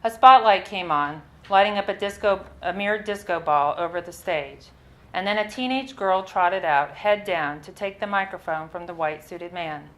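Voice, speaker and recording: A woman with a slightly low voice reads a personal narrative aloud as its writer. Her delivery is measured and expressive, shaped for a live literary reading, with an occasional stumble over a word. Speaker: writer reading own story.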